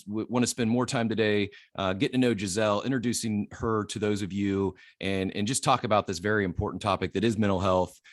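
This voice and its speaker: A man hosting a podcast, talking in a halting, stammering way and cracking small jokes. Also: fairly low pitch, no room sound, clean studio sound. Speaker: podcast host. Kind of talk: casual interview talk.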